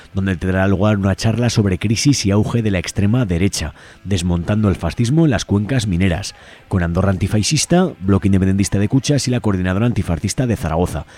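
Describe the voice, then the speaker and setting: A man's voice: fairly low pitch, lively intonation, fairly quick, dark tone. A man hosting radio, reading out community event announcements in an informal, conversational way. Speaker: radio show host. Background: music.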